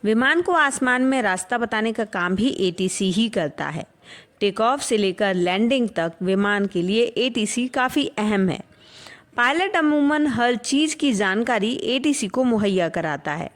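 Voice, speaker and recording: A woman with an Indian accent presenting a scripted educational explainer in a clear, explanatory manner. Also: fairly high pitch, lively intonation, measured pace.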